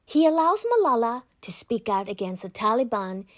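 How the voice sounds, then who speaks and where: A woman reads a children's book aloud in a fairly high voice. Her intonation moves widely and expressively through measured, scripted narration. The sound is dry, with no room echo. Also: big pitch swings.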